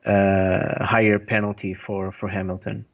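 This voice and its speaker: A man's voice: low voice, flat delivery, measured pace, phone-quality sound. A man co-hosting a casual podcast chat, speaking hesitantly with restarts and fillers. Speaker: podcast co-host. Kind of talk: casual chat about motor racing.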